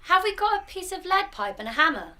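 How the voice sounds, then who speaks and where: A stubborn young daughter with a high, bright voice, as a woman plays her. She is busy and secretive, and brushes off her mother with short replies. This is a radio-drama role. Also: big pitch swings, fairly quick, heavily acted. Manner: curt, evasive replies.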